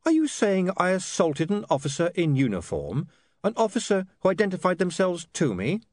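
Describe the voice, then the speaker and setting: A defiant, sharp-tongued young man who fires back quick retorts, as a man voices him in a storybook reading. His voice runs a little high and lively, and the recording is dry. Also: dry recording, heavily acted.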